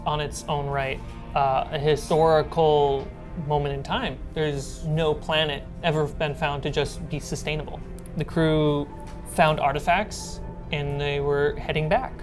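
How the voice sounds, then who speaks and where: A woman narrating a tabletop role-playing game as game master, describing things in an informal, halting way. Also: sits low, fairly even intonation, music in the background.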